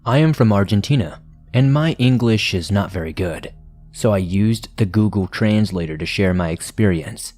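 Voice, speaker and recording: A low-pitched man reads scary stories aloud in a steady, measured way. Faint music runs quietly under his voice. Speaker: horror story narrator. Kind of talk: scripted narration of submitted stories.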